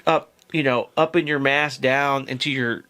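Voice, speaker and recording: A man's voice: medium pitch, fairly even intonation, moderate pace, sharp tone. A man hosting a casual podcast about music. He rambles, restarts his sentences and swears casually. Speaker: podcast host and band member.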